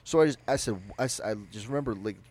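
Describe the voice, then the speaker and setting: A young man with a naturally loud voice, telling a personal story on a podcast in a rambling, animated way. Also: mid-range voice, fast talker. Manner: animated, rambling, with frequent restarts. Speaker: podcast co-host. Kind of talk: casual storytelling.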